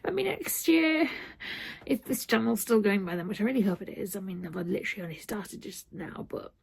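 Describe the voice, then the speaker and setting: A woman vlogging at home early in the morning, talking softly and half-whispering in a croaky just-woken voice, rambling with fillers. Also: medium pitch, expressive, a little fast, poor audio. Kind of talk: casual morning vlog chat.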